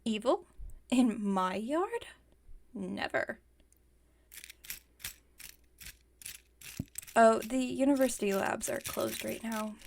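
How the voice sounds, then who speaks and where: A woman's voice: fairly high pitch, animated, unhurried, vocal fry, a little mellow, a little hiss or hum. A woman playing a playfully defensive, stubborn villain inventor in an ASMR roleplay, chatting and teasing with mock innocence. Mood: mock innocent. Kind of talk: ASMR roleplay, one-sided conversational acting.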